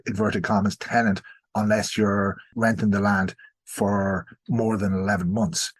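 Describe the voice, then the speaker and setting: A man's voice: lowish voice, husky. Discursive Irish man explaining history. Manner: discursive, self-qualifying. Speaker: historian, podcast guest. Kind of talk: conversational expert explanation in an interview.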